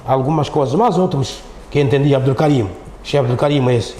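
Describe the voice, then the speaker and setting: A man's voice: mid-range voice, brisk, dark tone. A middle-aged man with a Mozambican accent, recounting things conversationally as an interview guest, repeating himself and often ending on tag questions. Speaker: interview guest, Islamic teacher. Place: echoey studio. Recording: roomy echo, faint background noise.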